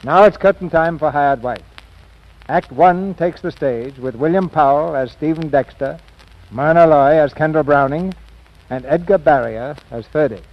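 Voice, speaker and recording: A man's voice: a little high, normal speed, slight background noise. A man announcing and narrating in a polished, theatrical 1940s radio style. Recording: dull old radio recording. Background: clear background music.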